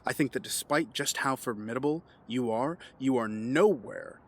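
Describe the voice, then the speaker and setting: An actor playing a calm, condescending, menacing villain mastermind in an audio drama, measured and taunting. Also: male voice, medium pitch, natural voice. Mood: condescending, accusing.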